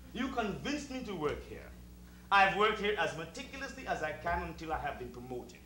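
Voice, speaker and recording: An actor playing a proud, meticulous West Indian servant with a Caribbean accent, making formal, emphatic speeches in a theatrical style. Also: male voice, sits high, bright, forceful tone, heavily acted. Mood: dignified, stubborn. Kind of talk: theatrical two-hander stage acting. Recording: roomy distant stage recording with hiss.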